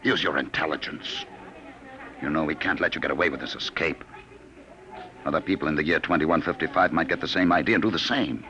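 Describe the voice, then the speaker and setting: An actor playing a coldly patient, threatening agent from the future in a classic radio drama, condescending and smooth-talking. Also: male voice, a bass voice, a little fast, bright, forceful tone. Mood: cold, scornful. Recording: old radio broadcast recording.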